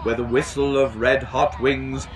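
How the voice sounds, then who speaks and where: A middle-aged man reading a children's storybook aloud outdoors, in an animated, expressive way. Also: mid-range voice, unhurried, over a noisy crowd, very noisy. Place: outdoors on a garden bench.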